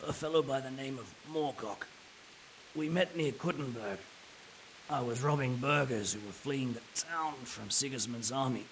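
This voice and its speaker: An actor playing a resigned, cynical captured mercenary in a video game drama, wry and matter-of-fact, with a gravelly voice. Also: male voice, medium pitch, normal speed, a little hiss or hum, lightly acted, low-quality recording. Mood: cynical, frank.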